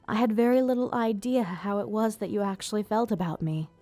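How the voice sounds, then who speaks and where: A woman playing an elegant vampire ruler, proud yet tender, speaking in formal, measured phrases in an ASMR roleplay. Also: mid-range voice, mellow, soft background music, natural voice. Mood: tender, grateful. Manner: formal, measured, theatrical diction. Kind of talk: ASMR roleplay, scripted monologue to listener.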